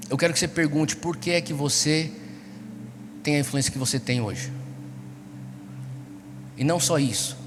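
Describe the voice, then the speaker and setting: A man's voice: a little high, moderate pace, long pauses, noisy background. A Brazilian man preaching to a young congregation, exhorting them with repeated rhetorical questions. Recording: echoey large hall, music behind. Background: music.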